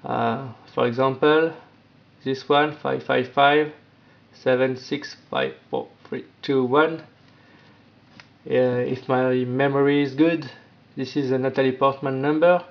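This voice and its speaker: Man, tutorial presenter, unhurried and halting delivery, non-native phrasing, slightly dull lo-fi recording. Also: medium pitch, creaky. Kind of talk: informal step-by-step app tutorial narration. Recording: dull, lo-fi sound.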